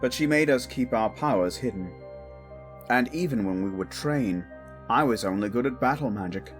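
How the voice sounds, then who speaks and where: A man's voice: mid-range voice, lively intonation, moderate pace, faint music behind, natural voice. A man playing a protective exiled prince standing in as king, firm, formal and weary, in a fantasy audio drama. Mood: guarded, weary. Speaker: voice actor.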